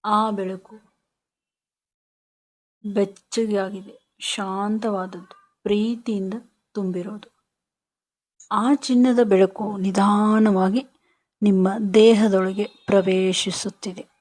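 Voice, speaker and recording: A woman leading a guided meditation in short, soothing instructional phrases with pauses. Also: medium pitch, flat delivery, darkish tone, poor audio.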